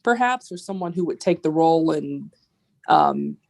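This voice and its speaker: Female board attorney: low register, noticeable vocal fry, careful explanations given over a video call. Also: wildly swooping pitch, moderate pace, a little mellow. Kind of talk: advising a formal meeting. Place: virtual meeting.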